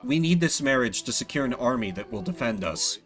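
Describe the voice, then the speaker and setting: Man playing a weary, low-toned king. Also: expressive, brisk, fairly bright tone, faint music behind, close to an everyday voice. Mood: apologetic. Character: weary, reluctant king and father.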